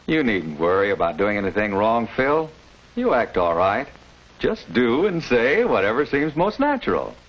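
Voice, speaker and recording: A calm American dad from a postwar classroom film, encouraging and measured. His medium-pitched voice moves up and down freely. The old soundtrack is a little dull. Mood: reassuring. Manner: measured, reassuring. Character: calm, encouraging father of a teenage boy. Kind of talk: 1940s educational film acting.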